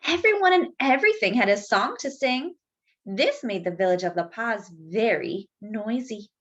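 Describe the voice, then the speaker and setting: Children's storyteller, woman: highish voice, very animated, unhurried, slightly dull recording. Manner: animated, drawn-out emphasis. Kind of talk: expressive picture-book read-aloud with asides to young listeners.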